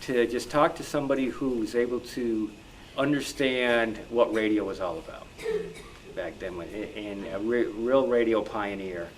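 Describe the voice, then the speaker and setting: A man speaking off the cuff in a group discussion, haltingly searching for his words. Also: fairly low pitch, a little hiss or hum, low-quality recording. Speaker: club discussion participant. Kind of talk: casual, unscripted discussion.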